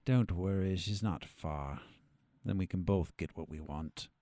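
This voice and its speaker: A man playing a weary, calculating captive doctor in an audio drama, speaking in a measured, formal way. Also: deep voice, dark tone, no echo, studio quality. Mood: dry, composed.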